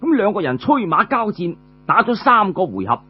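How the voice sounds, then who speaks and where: A man's voice: high-pitched, very animated, normal speed, slightly rough, dull audio. A man with a Cantonese accent narrating a traditional tale as a storyteller.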